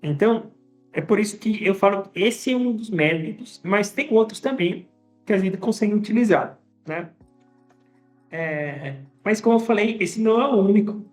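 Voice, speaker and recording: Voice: medium pitch, animated, normal speed. Conversational language teacher, faint music behind. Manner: conversational, frequent tag questions and restarts. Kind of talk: casual teaching monologue to camera.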